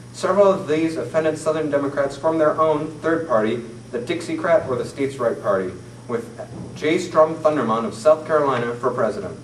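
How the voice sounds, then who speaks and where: A teenage boy reading a mock 1940s newscast from paper at a classroom table, in a formal, steady manner. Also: mid-range voice. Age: teens. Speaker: student newsreader. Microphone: far camera mic. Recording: roomy, distant camera audio with faint hiss.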